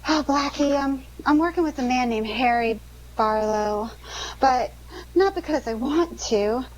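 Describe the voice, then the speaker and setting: A woman's voice: high-pitched, a little slow, highs cut off, a little hiss or hum. A woman playing an anxious, pleading young accomplice in trouble in a 1940s-style radio drama, with an earnest manner. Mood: confessing.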